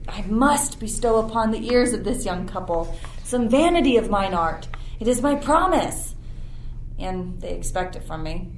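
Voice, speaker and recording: A woman's voice: medium pitch, expressive, moderate pace, close to an everyday voice. A woman playing a commanding sorceress and stern mother in formal, declamatory verse for an amateur Shakespeare radio play. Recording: roomy, noisy with ambient sound effects.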